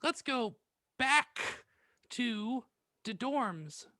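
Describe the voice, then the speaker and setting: A woman voicing a brash, defiant, foul-mouthed teenage goth with melodramatic, drawn-out exclamations. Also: on the high side, animated, pushed and bright, no echo, studio quality, full character voice.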